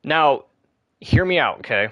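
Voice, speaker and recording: A man's voice: a little high, expressive, measured pace, fairly bright tone, no echo, highs cut off. A man giving casual, chatty commentary while playing a video game, rambling and often restarting his sentences. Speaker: gameplay commentator. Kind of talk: casual let's play commentary.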